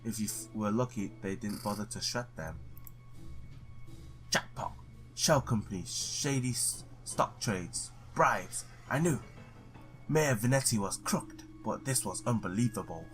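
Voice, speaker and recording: Low-voiced man reading a game aloud. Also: measured pace, faint music behind. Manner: stumbles over read text, blurts excited reactions. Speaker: gamer playing and reading a visual novel. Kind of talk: let's play, reading game text aloud with reactions.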